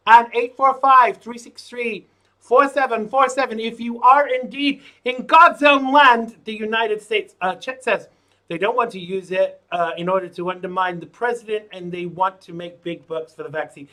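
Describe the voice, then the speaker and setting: A man hosting a live call-in show, chatting through news items with jokey asides. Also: pushed and bright. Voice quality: says his voice is low. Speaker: show host.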